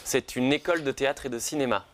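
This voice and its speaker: A young theatre director and actor introducing himself haltingly in a studio interview, with many fillers. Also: male voice, mid-range voice, a bit bright, a little hiss or hum.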